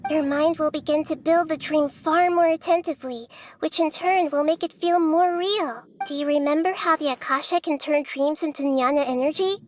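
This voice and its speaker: An actress voicing a calm, thoughtful young goddess of wisdom who explains things gently in a video game. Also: female voice, high-pitched, moderate pace, few pauses, a little hiss or hum, natural voice. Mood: explaining.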